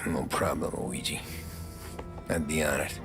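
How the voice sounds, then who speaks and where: A calm, deep-voiced young mobster. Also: male voice, measured pace, creaky, music in the background, full character voice. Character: calm young gangster driver, man. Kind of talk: video game cutscene acting.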